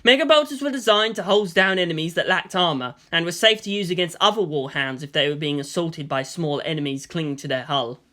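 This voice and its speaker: A man's voice: a little high, brisk, hardly any pauses, sharp tone. A man reading action fiction aloud as a dramatic, emphatic audiobook-style narrator. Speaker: story narrator.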